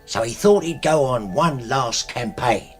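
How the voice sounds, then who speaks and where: An actor with a British accent playing a deferential, plain-spoken servant and loyal old soldier's man in a radio drama, coolly owning up to dark deeds. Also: mid-range voice, expressive, a little slow, faint music behind, lightly acted. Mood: matter-of-fact. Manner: deferential, says "sir" constantly, plain-spoken.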